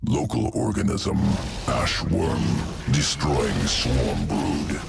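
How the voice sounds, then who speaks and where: An inhuman alien creature from a game, cold and analytical. The voice is abysmally deep and croaking, doling out terse fragments slowly. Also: male voice, very animated, over music, heavily acted. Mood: cold, clinical. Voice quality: guttural, gravelly. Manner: terse clipped phrases without articles, emotionless. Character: cold, clinical alien evolution creature obsessed with essence. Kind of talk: video game mission briefing.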